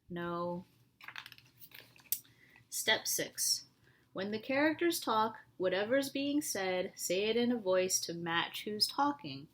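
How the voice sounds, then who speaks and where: A woman reading a picture book aloud to young children in an animated way, often asking them questions. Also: mid-range voice.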